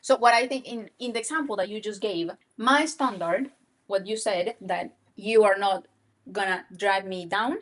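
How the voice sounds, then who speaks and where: A woman's voice: medium pitch, moderate pace. A woman whose first language is Spanish, co-hosting a casual chat about dating, often restarting her sentences and repeating words. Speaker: podcast co-host.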